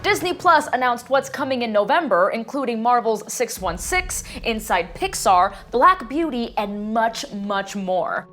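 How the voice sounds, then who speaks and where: A woman's voice: on the high side, big pitch swings, unhurried, hardly any pauses, fairly bright tone, faint music behind. Playful female talk show co-host. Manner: playful, chatty fan. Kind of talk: scripted talk show hosting.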